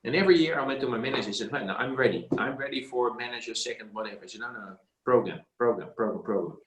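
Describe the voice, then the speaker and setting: A man's voice: medium pitch, very fast, noisy background. Middle-aged man coaching, roomy sound. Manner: emphatic, repeats words, says "eh". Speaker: career coach. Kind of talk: casual advice on a video call. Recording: roomy echo.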